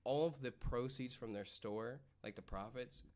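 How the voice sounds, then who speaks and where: A man's voice: medium pitch, moderate pace, a little mellow. A man talking casually and without a script as a panelist on a podcast. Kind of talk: casual unscripted discussion.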